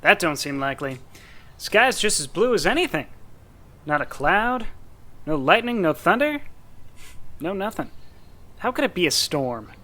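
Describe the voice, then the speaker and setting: A male actor plays a folksy small-town neighbour in a radio drama. His voice is fairly high for a man and sharply bright. He talks colloquially, as a skeptic would. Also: very animated, unhurried, pauses often, heavily acted. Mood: skeptical. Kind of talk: radio play acting.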